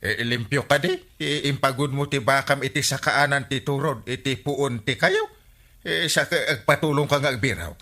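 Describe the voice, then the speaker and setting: An actor playing a bossy, excitable village captain in a comedy radio drama, scolding and insisting with an Ilocano accent. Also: male voice, mid-range voice, normal speed. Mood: scolding, exasperated.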